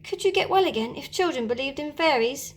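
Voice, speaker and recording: A woman's voice: high voice, expressive, brisk, voice pushed up. A woman voicing a brave, cocky boy hero who leads the lost boys, with bold, commanding calls in a storybook reading. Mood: urgent.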